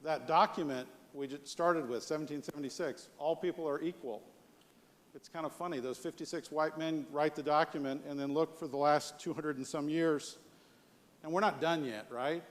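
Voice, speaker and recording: American male conference speaker, notably high voice, conversational delivery with checking questions, long hall reverb. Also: normal speed. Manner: conversational, rhetorical questions with "right?" tags. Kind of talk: live leadership presentation.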